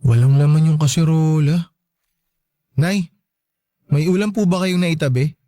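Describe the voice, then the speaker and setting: High-voiced meek young son played by an actor. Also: male voice, measured pace, warm tone, no echo, clean studio sound, lightly acted. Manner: polite, defensive, timid. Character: meek, apologetic young man in his twenties, a son. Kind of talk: Tagalog radio drama acting.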